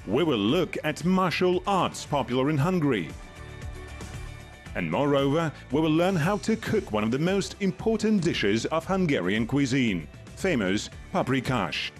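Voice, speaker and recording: A man reading a scripted voice-over for a television documentary in an even, measured way. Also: a little high. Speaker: English voice-over narrator. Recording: music playing under the voice.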